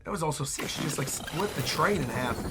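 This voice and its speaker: A host giving casual, excitable reaction commentary on game trailers. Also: mid-range voice, brisk, loud music behind the voice. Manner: excitable, exclamatory.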